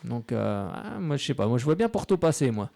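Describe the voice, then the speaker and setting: A man's voice: mid-range voice, big pitch swings, brisk, vocal fry, warm tone, no echo. A man hosting a live sports show on local radio, chatty and full of hesitant fillers.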